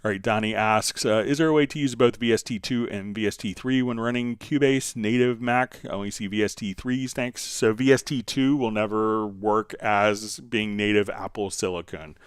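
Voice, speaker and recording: A man with a lowish, smooth and clean voice hosts a casual live stream. He reads out questions and explains his answers informally, wandering into asides. The sound is close and dry. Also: steady tone, normal speed, hardly any pauses, no echo. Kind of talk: casual unscripted Q&A talk, reading out viewer questions.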